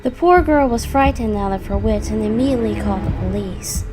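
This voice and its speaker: A woman's voice: medium pitch, brisk, over music. A woman reading a scripted horror story as its narrator. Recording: clearly audible background noise.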